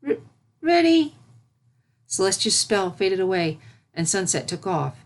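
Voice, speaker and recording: Female narrator for scripted fiction, slightly low register. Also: fairly even intonation, normal speed.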